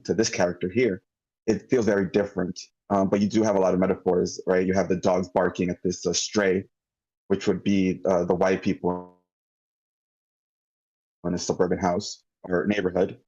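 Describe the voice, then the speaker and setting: Male, deep and mellow, halting off-the-cuff critique, lo-fi online call sound. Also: breathy edge. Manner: halting, circling back mid-thought. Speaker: table read participant giving script feedback.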